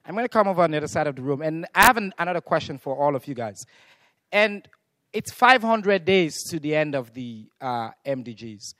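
A man's voice: on the high side, lively intonation, brisk, fairly bright tone, dry recording. A man from Sierra Leone moderating a youth forum, rousing the crowd and calling out questions to the room. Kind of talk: energetic audience moderation.

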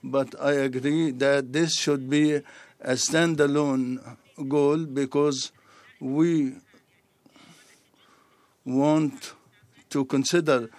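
Guy interpreting a speech, slow, pauses a lot, dry mic. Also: mid-range voice, fairly even intonation, a little mellow, no room sound. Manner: measured, pausing between phrases.

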